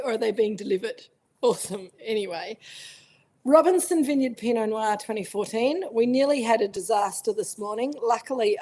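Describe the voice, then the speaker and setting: A middle-aged Australian woman with a croaky voice, chatting casually as she hosts a live virtual wine tasting and greets viewers by name. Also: fairly high pitch, a little mellow. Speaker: winemaker hosting a virtual tasting. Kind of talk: casual livestream chat.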